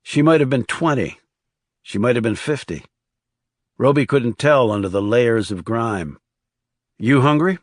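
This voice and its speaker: A man's voice: medium pitch, measured pace, no echo, clean studio sound. A man reading scripted thriller narration as an audiobook narrator.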